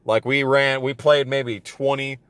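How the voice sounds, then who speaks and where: A man's voice: medium pitch, flat delivery, a little slow, fairly bright tone, smooth, no room sound. A man in his twenties telling a personal story casually and comedically, with profane, exasperated ranting and emphatic repetition. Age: 20s. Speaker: storyteller on a gaming channel.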